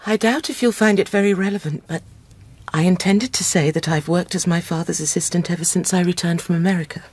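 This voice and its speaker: An actress playing a shaken but composed young gentlewoman in a radio drama, careful and well-mannered. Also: lowish voice, fairly even intonation, a little fast, no echo, lightly acted. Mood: fragile, composed.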